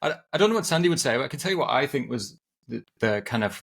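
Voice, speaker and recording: A man's voice: mid-range voice, sing-song, fast, vocal fry, fairly bright tone. English guy, middle-aged, friendly podcast guest, keeps restarting his sentences. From England. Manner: friendly, repeats words as he restarts. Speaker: guest, agile coach and author. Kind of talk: casual podcast conversation.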